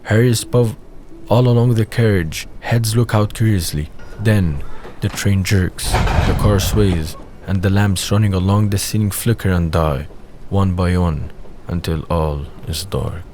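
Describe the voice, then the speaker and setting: A man's voice: low voice, unhurried. A man reading scripted scene narration for an audio drama. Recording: faint background noise.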